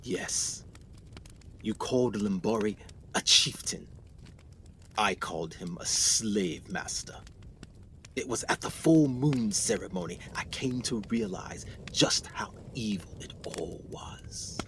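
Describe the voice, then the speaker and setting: An actor playing a haunted, educated explorer doctor in a radio drama, speaking formally and theatrically with grave anxiety. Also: male voice, fairly low pitch, slow pace, fairly bright tone, a little hiss or hum. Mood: haunted, hushed. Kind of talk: dramatic 1920s adventure radio acting.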